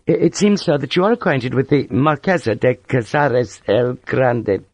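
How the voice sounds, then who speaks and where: A man's voice: medium pitch, expressive, normal speed, no room sound, pitched above the performer's own voice. A man voicing a timid young army lieutenant, hesitant and deferential, with a nervous stammer, in an audiobook story. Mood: timid, flustered.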